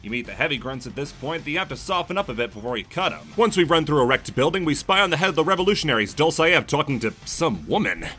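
A man narrates a video game review in a bright, crisp voice that sits a little high. His pitch swings widely as he drops sarcastic jokes between plain explanations. Faint music plays underneath. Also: sing-song, quick pace, hardly any pauses. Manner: sarcastic, jokey asides.

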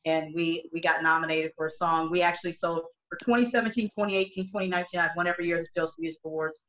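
A middle-aged woman, a country singer, talks on a video call from home. Her voice is bright and forward, and she rambles earnestly with many false starts. The laptop mic makes the sound dull and lo-fi. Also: lowish voice, steady tone, racing, slight background noise. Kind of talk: casual video call discussion. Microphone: laptop webcam mic on a video call.

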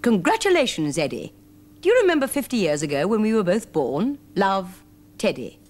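A woman's voice: medium pitch, sing-song, moderate pace, a little mellow. A woman voicing a proud, wistful, forgotten old male teddy bear from a storybook, in a dramatic, plaintive manner. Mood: fond. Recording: noisy, humming 1970s television recording.

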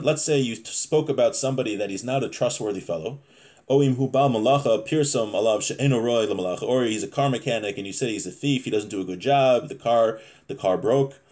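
A man's voice: mid-range voice, a little mellow. A man in his thirties with an American accent, giving an informal Torah lesson in a conversational, explanatory way, reading and commenting as he goes. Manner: conversational, explanatory, frequent check-in tags. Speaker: religious teacher giving a class. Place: small home room, indoors.

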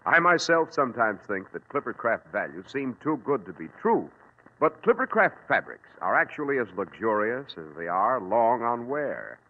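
An emphatic male radio announcer delivers a 1940s-style sales pitch. His intonation is lively, and the sound is rough and phone-like. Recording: tinny, rough old radio recording.

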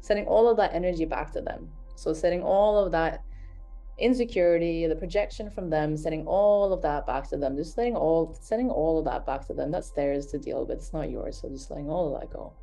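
A woman leading a guided healing session, speaking in a slow, soothing, repetitive way and murmuring soft affirmations. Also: low voice, soft background music.

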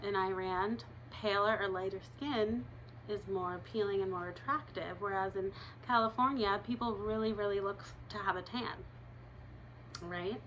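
A woman's voice: medium pitch, moderate pace, fairly bright tone, highs cut off, slight background noise. A woman in her thirties reading a chapter book aloud in her living room, breaking off for chatty asides to her listeners. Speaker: reader.

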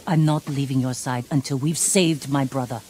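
A woman's voice: very deep voice, fairly even intonation, moderate pace, darkish tone, slight background noise. An actress playing a tough, pragmatic female survivor in a video game, blunt and intense but restrained. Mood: urgent loyalty. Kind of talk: dramatic video game acting.

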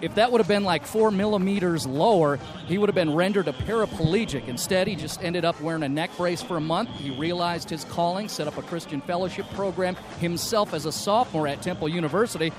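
A man doing radio sideline reports from a football stadium, speaking in a brisk, informative and conversational way. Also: sits high, over music. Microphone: handheld microphone with headset.

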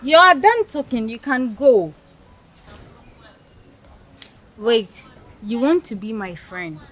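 An actress playing a rude, snobbish young woman who mocks and shouts with contempt. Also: fairly high pitch, very animated, a little slow, full character voice. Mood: scornful. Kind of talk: scripted skit acting.

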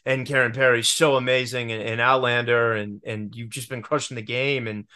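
A man's voice: medium pitch, flat delivery, a little fast, bright, forceful tone, smooth. A young man hosting an interview over a video call, eager and effusive, often restarting his words. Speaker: podcast host. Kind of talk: casual interview. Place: home room with a plain wall.

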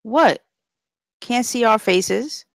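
Young female gang member played by a woman: animated, slightly high, slangy, defiant, dry studio-close sound. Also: very slow, vocal fry, no room sound, exaggerated voice. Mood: irritated. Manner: slangy, defensive, casual. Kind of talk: script table read.

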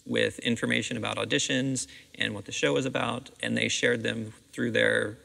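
Middle-aged American man, interviewed director, very creaky voice, recorded in a reverberant auditorium. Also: medium pitch, flat delivery, moderate pace, pushed and bright. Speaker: school theatre director being interviewed. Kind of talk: conversational interview answers. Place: seated on the stage of a theatre auditorium. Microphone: clip-on lapel microphone.

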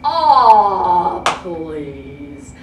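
Woman as a loud, aggrieved storybook bear. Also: on the low side, very animated, reverberant, recorded from a distance, dull audio, noisy background, pitched below the performer's own voice, full character voice. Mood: aggrieved pleading. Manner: plaintive, drawn-out, emphatic complaining.

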